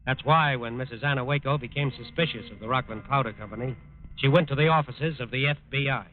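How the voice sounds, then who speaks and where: A man's voice: mid-range voice, quick pace. A man delivering solemn, emphatic scripted narration in 1940s radio style. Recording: tinny 1940s radio recording with music.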